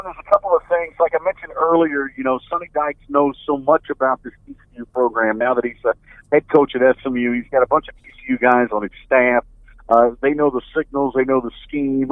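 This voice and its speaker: A middle-aged man speaks over a slightly muffled, dry phone connection. He has a mid-pitched voice and talks like a radio sports announcer being interviewed, conversational and analytical. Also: a little fast, dry recording. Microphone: telephone. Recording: band-limited phone line.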